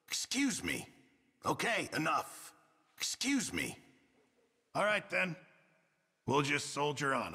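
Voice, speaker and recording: An actor playing a put-upon, middle-aged camp cook in a Western video game, flustered, huffy and self-important, with a gravelly, husky voice. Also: male voice, on the high side, pushed and bright, long reverb tail, exaggerated voice. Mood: annoyed. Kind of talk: video game character barks.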